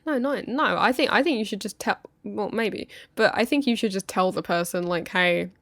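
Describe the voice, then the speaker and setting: A woman's voice: medium pitch, moderate pace, a bit bright, dry recording. A woman co-hosting a casual podcast chat. She giggles and stumbles over her words as she gives advice. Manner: giggly, stammering restarts. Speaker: podcast co-host. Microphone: podcast microphone.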